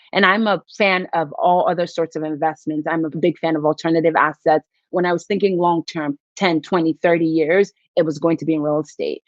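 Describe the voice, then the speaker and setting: A woman's voice: low voice, dry recording, lo-fi sound. A woman from Nigeria, a physician, speaking earnestly and reflectively as a podcast guest. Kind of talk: podcast interview.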